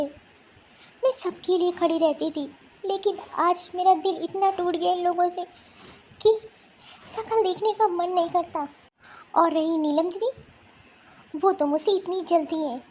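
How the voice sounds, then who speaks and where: Woman acting high-voiced, aggrieved young wife. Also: a little mellow, a little hiss or hum. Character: hurt, resolute young married woman refusing her in-laws. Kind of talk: amateur Hindi cartoon family melodrama.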